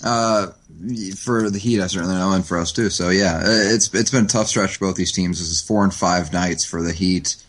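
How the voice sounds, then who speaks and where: A man's voice: low-pitched, normal speed, fairly bright tone. A man guesting on a sports podcast over the line, making earnest, analytical points with plenty of fillers. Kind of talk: casual sports chat. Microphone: phone line.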